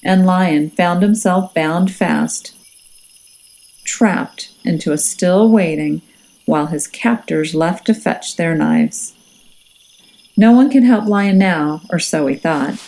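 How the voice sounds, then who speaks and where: A woman reading a children's story aloud as a teacher, in a measured, expressive and suspenseful way. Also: on the low side, rough recording. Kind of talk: dramatic children's storybook narration. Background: music and nature sounds.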